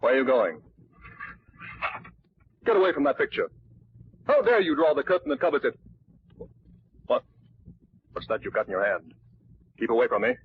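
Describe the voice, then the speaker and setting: An actor playing an imperious, irritable theatrical manager in a 1940s radio drama, brusque and commanding. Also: male voice, mid-range voice, very animated, moderate pace, pauses often, close to an everyday voice. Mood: outraged, alarmed. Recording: tinny, hissy 1940s radio recording.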